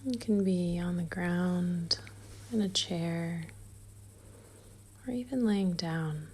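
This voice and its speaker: A woman leading a guided meditation in a soft, breathy near-whisper, calm and gentle, leaving long pauses. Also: on the low side.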